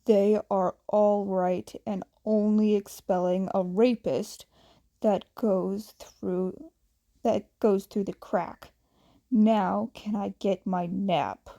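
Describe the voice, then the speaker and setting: A woman doing a weary, gruff male teacher from a storybook, flat and curt. His voice sits low and mellow, slow and unhurried, as if he would rather be napping. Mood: stern. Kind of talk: fan audiobook reading.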